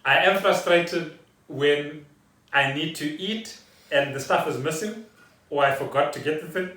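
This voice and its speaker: A man co-hosting a casual podcast, speaking in halting, deliberate phrases. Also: on the high side, bright, forceful tone. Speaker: podcast co-host. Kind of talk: casual unscripted chat. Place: echoey room at home. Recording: roomy echo, distant from mic.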